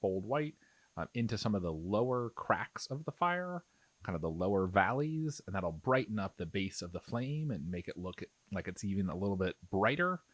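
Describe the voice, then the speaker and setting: A man's voice: on the low side, big pitch swings, moderate pace, no echo. A man giving a casual hobby tutorial as an instructor, explaining things in an easygoing, reassuring way. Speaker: miniature painting instructor.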